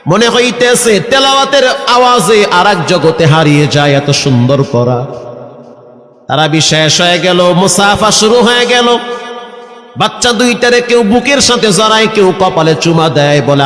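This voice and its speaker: High-pitched echoing Bangladeshi male preacher. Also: a bit bright. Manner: dramatic, drawn-out, chant-like delivery with rhetorical questions. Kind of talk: emotional storytelling sermon to a congregation. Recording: echoey reverberant PA sound, faint music.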